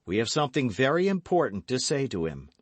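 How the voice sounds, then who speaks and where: Guy doing an eager young swashbuckler in an audiobook, voice pushed up high, swoops around, bossy but sweet. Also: very animated, brisk, no echo. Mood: urgent, affectionate. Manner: emphatic, commanding, affectionate. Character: brash, eager young gallant gentleman, D'Artagnan. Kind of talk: audiobook character acting.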